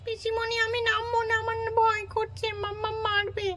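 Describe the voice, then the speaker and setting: A woman's voice: extremely high pitch, monotone, normal speed, a bit bright, voice pushed up. A woman voicing a mischievous little girl who squeals and pleads in fright. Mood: scared pleading. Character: mischievous little girl, scared of being scolded.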